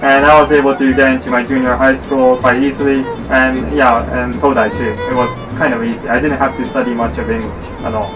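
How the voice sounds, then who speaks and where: A man's voice: medium pitch, flat delivery, a little fast, few pauses. A man, talking casually to camera as a student guest. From Japan. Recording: somewhat distant microphone, music behind.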